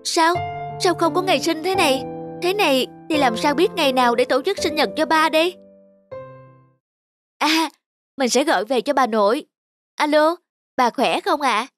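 A young woman playing a devoted, sentimental adult daughter in a cartoon drama, speaking in an expressive, earnest way. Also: sits high, moderate pace, pauses often, soft background music. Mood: troubled, then polite, questioning. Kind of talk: animated cartoon drama acting.

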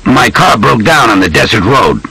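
A man's voice: medium pitch, big pitch swings, gravelly, bright, forceful tone, slightly rough, no room sound, pitched above the performer's own voice, full character voice, poor audio. Man voicing a weary, guarded traveller. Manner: tired, measured, cautious. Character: weary male spy posing as a traveller, guarded.

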